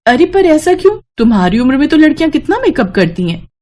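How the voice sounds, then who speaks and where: A woman's voice: high-pitched, very animated, fast, dark tone, pitched above the performer's own voice. Breezy young newlywed wife acted by a woman. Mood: obliging. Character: friendly young newlywed wife, makeup-loving and indulgent.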